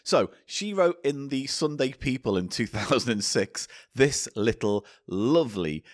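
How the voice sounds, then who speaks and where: A man's voice: medium pitch, a little slow, no room sound, clean studio sound. A man co-hosting a comedy podcast, bantering and laughing as he jokes. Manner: animated, laughing, jokey. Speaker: podcast co-host.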